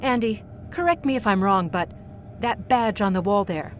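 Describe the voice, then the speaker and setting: An actress playing a bossy, quick-thinking spaceship crew leader in a science fiction audio drama, commanding, impatient and sarcastic. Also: female voice, medium pitch, a little hiss or hum, natural voice. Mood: matter-of-fact.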